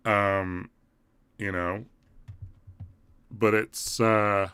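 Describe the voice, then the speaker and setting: A man's voice: lowish voice, flat delivery, drawn-out words, sharp tone, clean tone, dry recording. A man with an English accent hosting a live stream, talking casually and thinking aloud as he goes. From England. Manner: thinks aloud, rambling and conversational.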